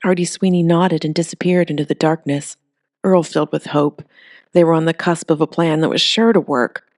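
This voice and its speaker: A woman reads a creature story aloud in an even, steady voice. Her pitch sits low for a woman. The recording is close and dry, with no room sound. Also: moderate pace, no echo. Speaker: audiobook narrator. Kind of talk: scripted storybook narration.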